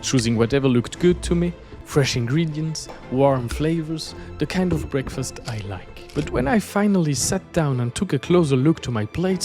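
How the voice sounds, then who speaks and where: A man's voice: medium pitch, darkish tone. A man from French-speaking Switzerland, a native French speaker with a Swiss French accent, gives scripted, reflective travel narration as an artist presenting his journey. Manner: reflective, storytelling.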